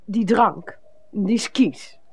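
An actress in a radio comedy playing a stern, suspicious, sharp-tongued wife who speaks in a curt, scolding manner. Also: female voice, medium pitch, extremely slow, husky. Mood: indignant. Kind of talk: comedic radio drama acting.

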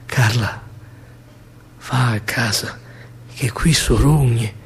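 A man on stage voicing a gentle, protective young soldier with a Roman accent. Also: mid-range voice, very slow, breathy edge, voice pushed down, heavily acted. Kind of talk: dramatic solo stage storytelling.

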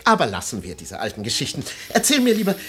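An actor in a radio play playing a self-pitying, melancholy man who speaks haltingly, with pathos. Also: mid-range voice, huge pitch swings, a bit bright, faint music behind. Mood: grave. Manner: halting, sighing, pathos-laden. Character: self-pitying, melancholy son of a disgraced father. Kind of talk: German radio play acting.

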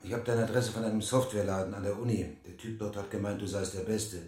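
An actor playing a probing, insistent newspaper journalist with a husky voice in a radio play. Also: male voice, lowish voice, steady tone, fast talker, a little mellow. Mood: persuasive, low-key.

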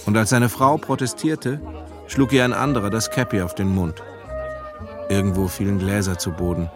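Radio drama narrator, male, lowish register, literary storytelling over clear music. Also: steady tone, moderate pace.

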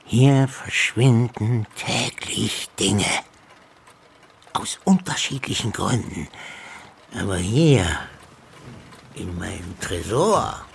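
Casting: old, muddled yet cunning emeritus, slow-talking and gravelly, radio play with faint room noise. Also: male voice, fairly low pitch, lively intonation, heavily acted. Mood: sly, amused. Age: older. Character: old emeritus professor, sly, cackling, seemingly confused. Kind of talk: German radio drama acting.

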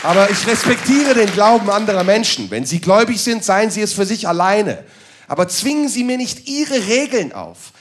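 A man's voice: high-pitched, sing-song, normal speed, some chatter behind. A man doing a crude, sardonic stand-up monologue that moves into ranting. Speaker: stand-up comedian.

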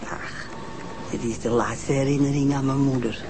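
An actress playing a bitter, self-pitying elderly woman who complains in a drawn-out way, in a Dutch TV detective drama. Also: very deep voice, fairly even intonation, noisy background. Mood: aggrieved. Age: older.

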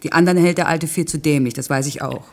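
An actress playing a headstrong, defensive working-class woman in a naturalistic radio play, curt and dryly self-mocking, with a husky voice. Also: deep voice, quick pace. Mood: stubborn, earnest.

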